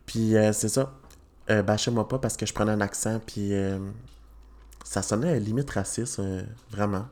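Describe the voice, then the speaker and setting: A man's voice: lowish voice, steady tone, moderate pace, darkish tone. A young man in his twenties with a Québécois accent, hosting a casual podcast and telling self-mocking stories with lots of laughter. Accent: Québécois French. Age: 20s. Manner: joking, self-mocking storyteller with frequent laughs. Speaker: podcast host. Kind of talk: casual chat.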